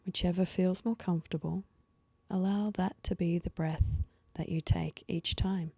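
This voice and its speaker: A woman leading a guided meditation with slow, soothing instructions and pauses, her voice soft and at times breathy. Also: lowish voice, fairly even intonation, no room sound.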